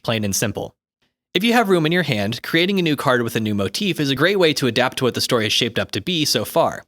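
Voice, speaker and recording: Fast-talking man reading explanatory narration. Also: mid-range voice, a bit bright, no room sound, studio quality. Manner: articulate, instructive. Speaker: co-host reading game rulebook commentary. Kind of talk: scripted explanatory narration, audiobook-like.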